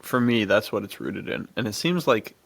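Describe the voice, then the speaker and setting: A man's voice: fairly low pitch, lively intonation, normal speed, vocal fry, a bit bright, a little hiss or hum. A man co-hosting a podcast, chatting casually and enthusiastically about action movies in a rambling way. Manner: enthusiastic, rambling, chuckling often. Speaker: podcast co-host.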